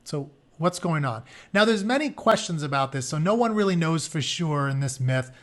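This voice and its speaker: A man's voice: fairly high pitch, lively intonation, normal speed. A man reading a story aloud to young listeners, dropping into informal, explanatory asides. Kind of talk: expressive read-aloud with casual explanatory asides.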